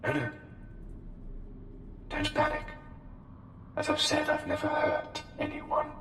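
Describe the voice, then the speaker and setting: A man playing an eerily polite, menacing plague doctor in a formal, haughty ASMR roleplay, with deliberate, halting phrasing. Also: very high voice, big pitch swings, heavily acted. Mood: coaxing, clinical. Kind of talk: slow, intimate ASMR roleplay monologue. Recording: long cavernous reverb, music underneath. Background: music.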